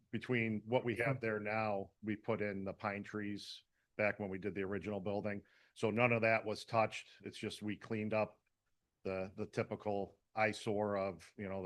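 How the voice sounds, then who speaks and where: A man with a low, fairly monotone voice walks a town planning board through his department's building plans. He talks in a loose, rambling way, padding with fillers and doubling back mid-sentence. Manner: rambling, many fillers and restarts. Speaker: fire department representative presenting an application. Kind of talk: casual presentation at a public meeting.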